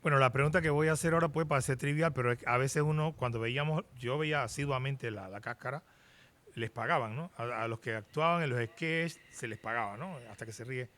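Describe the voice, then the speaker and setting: A man interviewing a guest, asking questions softly and tentatively. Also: medium pitch, a little fast. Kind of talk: conversational questions.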